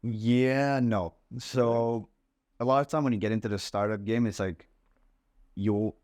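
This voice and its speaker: Laid-back deep-voiced young man. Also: steady tone, unhurried, darkish tone, no echo, studio quality. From Lebanon. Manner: laid-back, says "like" and "bro" a lot. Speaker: startup CEO guest. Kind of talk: casual podcast chat.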